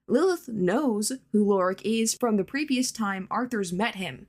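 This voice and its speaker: A woman giving prepared fan commentary as a listener's voice message. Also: mid-range voice.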